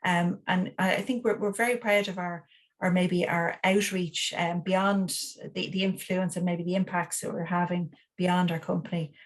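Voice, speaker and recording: A woman talks about her company as an award finalist in an online interview. Her voice is fairly low and flat, and she speaks hesitantly, with frequent restarts and fillers. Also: moderate pace.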